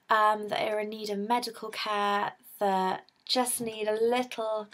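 A bubbly young British woman chats straight into her webcam from her bedroom. Her voice sits a little high for a woman, and she laughs often as she talks. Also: a bit bright. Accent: British English. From United Kingdom. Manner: bubbly and chatty, laughs often, gestures with hands. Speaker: vlogger raising money for a charity. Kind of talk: casual direct-to-camera appeal. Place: bedroom, indoors. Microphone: webcam in front of her.

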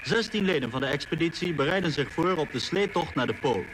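A man's voice: a little high, animated, brisk, lightly acted. A man playing the narrator of an expedition in a radio play, reading in scripted documentary style. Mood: measured, factual. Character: male narrator chronicling a polar expedition. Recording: noisy old radio recording.

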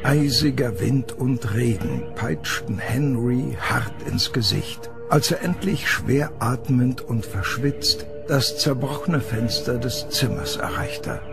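A man's voice: mid-range voice, takes it slowly, creaky, hardly any pauses. A man narrating a scripted horror story for an audio drama. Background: music.

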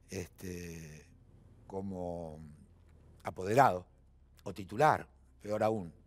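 An older Argentine man in his seventies speaks in a studio interview with a low voice. He talks emphatically, pausing on fillers and restarting his sentences as he builds his point. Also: a little mellow. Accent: Argentine Spanish. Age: 70s. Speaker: interview guest. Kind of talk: television interview. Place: television studio.

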